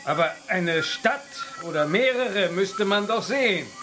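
An actor playing a grave, thoughtful professor in a children's sci-fi radio drama, explaining things in a deliberate way. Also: male voice, sits high, lively intonation, noisy background. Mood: matter-of-fact.

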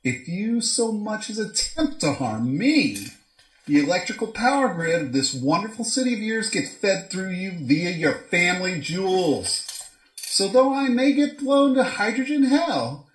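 An actor playing a gloating, foul-mouthed scientist in an audio drama, theatrical, mocking and wordy. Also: male voice, sits high, big pitch swings, measured pace, a bit bright, reverberant, heavily acted, low-quality recording. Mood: menacing glee. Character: gloating, foul-mouthed mad scientist doctor, gadget-proud blackmailer. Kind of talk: modern audio drama acting.

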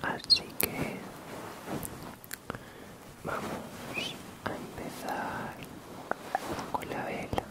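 A man with an accent from Spain whispering an ASMR guided meditation in a soft, soothing, drawn-out way. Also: rough recording. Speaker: ASMR channel host.

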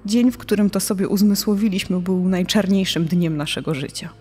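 A woman's voice: medium pitch, fairly quick, warm tone, breathy edge, close to an everyday voice. Woman acting a resigned, reverberant grieving mother. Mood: resigned sorrow. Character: bereaved mother recalling search for missing son. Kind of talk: dramatized testimony in a true-crime podcast. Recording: long hall reverb, music underneath.

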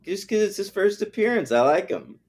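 A man's voice: sits high, big pitch swings, brisk, darkish tone. A man co-hosting a podcast, chatting in a laid-back, profane way and sharing trivia about the show. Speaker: podcast co-host. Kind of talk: casual episode recap chat.

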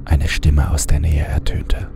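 A man narrating a horror audiobook in a hushed voice that often drops to a half-whisper. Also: very deep voice, monotone, unhurried, vocal fry. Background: music bed.

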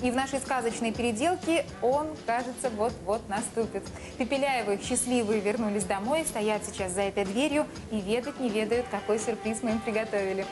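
A woman's voice: on the high side, brisk, few pauses. A woman, presenting a home makeover show and chatting with guests. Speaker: TV host. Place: indoors in a furnished flat room and hallway. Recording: roomy indoor sound with background music.

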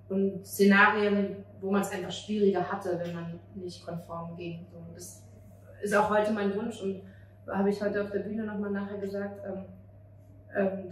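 A woman in her thirties from Germany gives earnest interview answers into a handheld mic held across the table. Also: mid-range voice. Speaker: playwright and actress being interviewed. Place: outdoor café table on a street at night. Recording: roomy, distant mic, street-side ambient noise.

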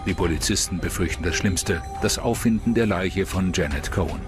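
A man narrating a scripted true-crime documentary in a measured, dramatic manner. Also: deep voice, music in the background.

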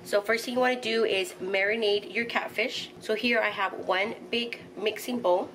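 A woman's voice: medium pitch, steady tone, normal speed, a bit bright, low-quality recording. Middle-aged woman, friendly home-cook host explaining a recipe step by step, kitchen recording with light noise. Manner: instructional, walks through each step with fillers. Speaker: home cooking channel host. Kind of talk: casual step-by-step cooking narration.

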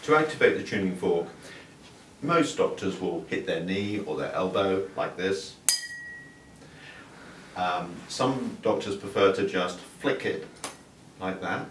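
An older man, a doctor, calmly explaining and demonstrating a hearing exam in a clinic room, with small restarts. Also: low-pitched, slow pace, long pauses. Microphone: far camera mic. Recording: roomy, distant sound with faint room hiss.

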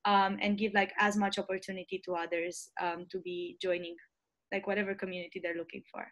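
A woman's voice: medium pitch, flat delivery, brisk, fairly bright tone. A young woman from Romania in her early twenties, speaking in an interview over a video call with earbuds, thoughtful and full of ums and restarts. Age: young adult. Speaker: interview guest, student leader. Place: bright room at home.

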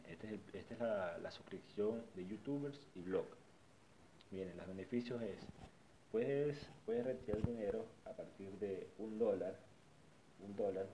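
A man's voice: low voice, noisy background. A man casually narrating a screen-recorded walkthrough of a website, often repeating and restarting his phrases. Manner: repetitive, restarts phrases while pointing things out. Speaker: tutorial vlogger.

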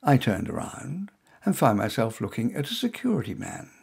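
A man reading his own short story aloud as first-person narration in a wry, expressive manner. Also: medium pitch, normal speed, creaky, slightly rough.

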